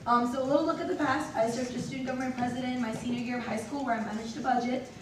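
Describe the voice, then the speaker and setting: A woman's voice: high voice, fairly even intonation, rapid-fire. A woman in her twenties from Venezuela introducing herself as a student nominee, upbeat. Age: 20s. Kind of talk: self-introduction speech. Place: large echoey meeting hall. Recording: echoey hall, far from the mic, noisy.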